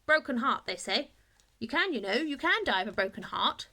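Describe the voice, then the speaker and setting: A woman's voice: on the high side, big pitch swings, normal speed, pushed and bright, exaggerated voice. A woman voicing a chatty male history teacher in a school storybook. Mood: gossipy, wistful.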